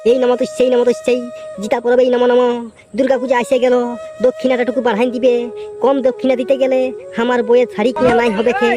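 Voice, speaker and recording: A woman's voice: on the high side, flat delivery, quick pace, over music, lightly acted. A woman voicing a grumbling, rambling village priest in a comic cartoon, repeating phrases in a Purulia Bengali accent. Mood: rambling, wheedling. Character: grumbling, hungry village priest, henpecked husband.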